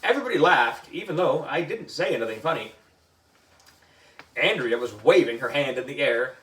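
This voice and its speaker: A man's voice: medium pitch, animated, moderate pace, a bit bright. A man reading a children's story aloud in a theatrical way, with exaggerated emphasis. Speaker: book reader for a children's book club. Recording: echoey room, distant mic.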